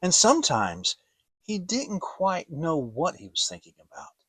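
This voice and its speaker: A man narrating a children's story as a dramatic audiobook, deliberate and expressive. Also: on the high side, faint music behind.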